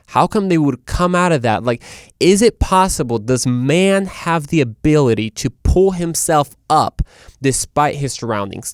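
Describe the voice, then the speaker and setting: Man, clear smooth voice, dry close sound, informal show host, conversational. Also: medium pitch, no room sound. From Guadalajara, Mexico. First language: Spanish. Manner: informal, conversational, self-interrupting. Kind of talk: casual monologue to listeners.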